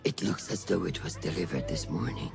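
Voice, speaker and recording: A man's voice: a bass voice, brisk, vocal fry, over music. An actor playing a cold, commanding, secretive male agent in a video game. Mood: cold, curt. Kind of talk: cinematic video game acting.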